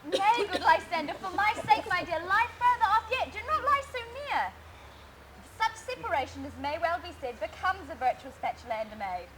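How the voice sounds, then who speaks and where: A woman playing a modest young woman in love, speaking gentle Elizabethan verse. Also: very high voice, sing-song, brisk, noisy background.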